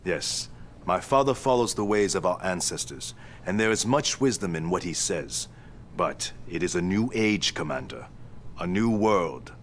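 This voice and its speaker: An actor playing a composed imperial prince in a video game cutscene, speaking courteously, deliberately and earnestly. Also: male voice, low-pitched, expressive, slight background noise. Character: composed, forward-looking male imperial prince.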